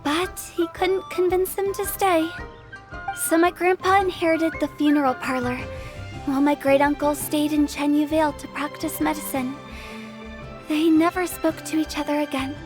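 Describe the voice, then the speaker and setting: A woman's voice: high-pitched, level tone, moderate pace, over music, close to an everyday voice. An actress voicing a shrewd, mischievous young woman who runs a funeral parlor, telling things in a conspiratorial tone. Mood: scheming. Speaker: funeral director.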